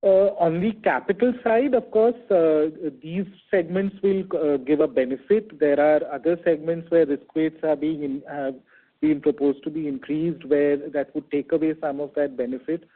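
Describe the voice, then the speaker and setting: A man with an Indian accent answering questions as a bank executive on an earnings call, speaking in a halting, measured, hedging way over a phone conference line. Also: a little high, fairly even intonation, phone-quality sound. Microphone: telephone conference call.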